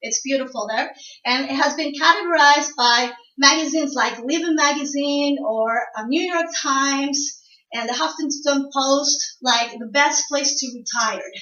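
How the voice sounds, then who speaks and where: A woman's voice: high-pitched, a little slow, sharp tone. A young woman from Ecuador gives a prepared classroom speech, explaining things and addressing the audience directly. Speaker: student speaker. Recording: roomy, distant, dull lo-fi sound.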